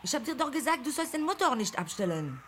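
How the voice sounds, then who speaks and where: Impatient high-voiced female guerrilla. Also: big pitch swings, quick pace, fairly bright tone, slight background noise. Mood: urgent, nagging. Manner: urgent, scolding. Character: impatient, indignant young female getaway guerrilla. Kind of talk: German radio play acting. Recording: music behind.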